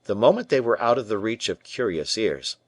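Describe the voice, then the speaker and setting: Man reading a classic novel aloud, lowish voice, steady pace, super dry close mic. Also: a little fast, no room sound. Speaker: audiobook reader. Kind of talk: audiobook narration of a classic novel.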